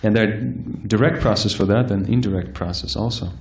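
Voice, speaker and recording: Man, very low voice, soft-spoken, hesitant, scripture lecture, many fillers. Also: fairly quick, a little hiss or hum. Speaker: speaker giving a scripture class. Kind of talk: sermon-style lecture. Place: temple hall with live audience.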